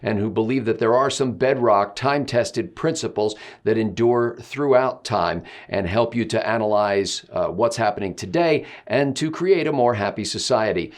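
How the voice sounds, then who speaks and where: A man hosting a talk show in a conversational, measured and wordy way. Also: lowish voice. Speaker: show host. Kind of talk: conversational hosting and promotional plug.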